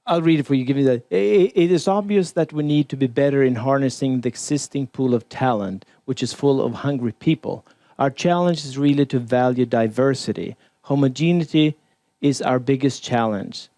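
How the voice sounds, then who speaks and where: A man's voice: mid-range voice, moderate pace, mellow, dry recording. A man moderating a conference panel, keeping his prompts brief and reading out audience questions.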